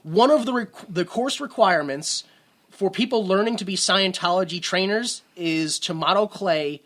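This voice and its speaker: A man's voice: high voice, moderate pace, fairly bright tone. A man co-hosting a casual movie podcast, rambling with sarcastic asides. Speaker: podcast co-host.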